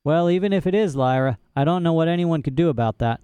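An impatient older clan leader talks bluntly in rustic speech, as a man reading the story puts him on. The voice is mellow and smooth, dry and close. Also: a little high, animated, quick pace, no room sound, voice pushed up, natural voice. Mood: dismissive.

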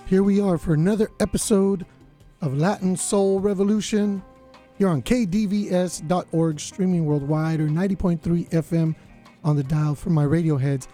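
A man hosting a college radio show, his voice slightly raspy, chatting casually with lots of fillers. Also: sits high, fairly even intonation, normal speed, dark tone, soft background music. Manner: laid-back, lots of fillers. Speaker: radio DJ host.

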